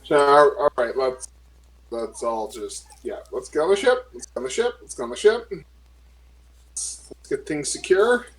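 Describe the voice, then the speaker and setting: A man playing a tabletop game, chatting casually and thinking aloud in halting phrases. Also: on the high side, lively intonation, low-quality recording.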